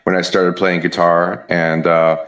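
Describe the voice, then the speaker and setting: A man in his fifties from the Southern United States with a Southern US accent, chatting as a musician guest in an interview, relaxed and hesitant with many fillers. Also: low-pitched, a little slow. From Southern United States (Kentucky, Memphis). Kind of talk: casual interview chat. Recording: echoey room.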